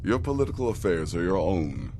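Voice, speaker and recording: Very deep, grave assassin. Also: male voice, big pitch swings, warm tone, slight background noise. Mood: measured, instructive. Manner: measured, wry, formal. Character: grave, darkly courteous male assassin handing out contracts. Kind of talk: video game character acting.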